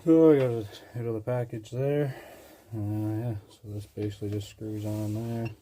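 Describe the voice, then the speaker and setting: A man's voice: fairly low pitch, steady tone, moderate pace, warm tone. A man vlogging a DIY project in casual, unscripted talk, hesitant and thinking aloud as he goes.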